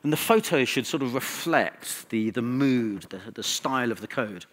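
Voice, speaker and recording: A man's voice: mid-range voice, animated, moderate pace. A man speaking as a conference presenter, informal and conversational, with wry asides. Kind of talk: conference talk.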